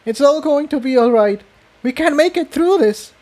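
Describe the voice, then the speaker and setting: A man's voice: very high voice, animated, moderate pace, darkish tone, voice pushed up. A man voicing a frantic, tearful young female pony in a storybook reading, pleading and exclaiming.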